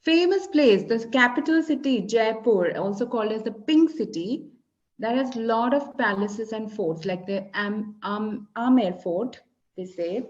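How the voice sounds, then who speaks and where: Indian english teacher lady, malayalam speaker, patient and slow, kinda muffled call audio. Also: on the high side, animated, a little mellow. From Kerala, India. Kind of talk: casual tutoring chat. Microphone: video call.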